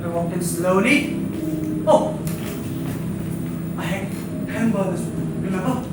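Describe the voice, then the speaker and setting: Menacing loner, halting stage monologue. Distant, echoey theatre pickup, loud music behind. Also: male voice, very high voice. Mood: suspenseful narrating. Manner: halting, repetitive, dramatic delivery. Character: intense, rambling, menacing lonely male storyteller.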